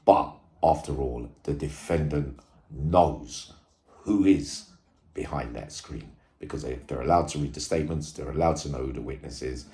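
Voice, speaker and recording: A man giving informal commentary to viewers, halting and repetitive, stressing points and checking in with tags. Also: extremely low pitch, a little mellow. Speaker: true crime video host and commentator. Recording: echoey room sound.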